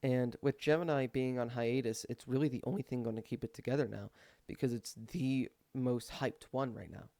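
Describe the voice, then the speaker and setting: Gravelly man, casual rambling commentary. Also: medium pitch, fairly even intonation, moderate pace, warm tone, no echo. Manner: rambling, informal, peppered with swearing. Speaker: video creator.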